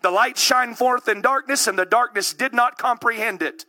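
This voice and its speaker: A man in his thirties preaches with fervor, pushing up into shouts and calling out to the congregation. His voice sits high for a man. It has a very bright, ringing tone. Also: moderate pace. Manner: impassioned, builds to shouting; calls out to the congregation. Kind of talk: sermon.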